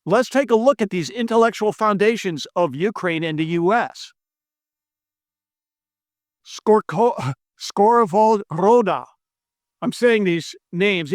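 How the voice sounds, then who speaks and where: American economist guy, kinda high voice, dry close sound, keeps pushing the same point. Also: no echo. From United States. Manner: emphatic, repeats his key claims, stumbles over foreign names. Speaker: monetary economist presenting a video lesson. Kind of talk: earnest persuasive talk to camera. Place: indoor room with brick and stone walls.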